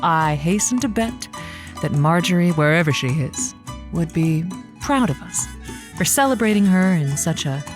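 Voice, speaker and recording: A woman playing a chatty, kindly but awkward lonely-hearts radio host in an audio drama, with a halting, playful, folksy delivery. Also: fairly low pitch, expressive, music in the background, natural voice. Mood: affectionate sign-off.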